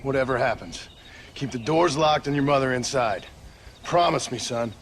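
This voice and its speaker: An actor playing a protective frontier rancher and ex-outlaw with a rural American Western drawl and a plainspoken, commanding manner. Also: male voice, medium pitch, moderate pace, a little hiss or hum, close to an everyday voice. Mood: grave, firm. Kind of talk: video game voice acting, Western drama.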